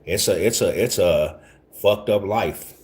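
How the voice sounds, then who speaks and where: A man's voice: low voice, measured pace, darkish tone. A man in his forties from Denver, talking casually to his livestream viewers in rambling street slang and often repeating himself. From Denver, Colorado. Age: 40s.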